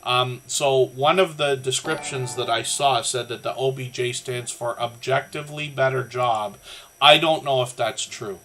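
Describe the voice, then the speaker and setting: A man's voice: mid-range voice, measured pace, bright, forceful tone. A Canadian man with a slightly scratchy voice hosting a casual livestream, rambling along in a friendly way as he talks to his chat. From southern Ontario, Canada. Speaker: livestream host of a creator community channel.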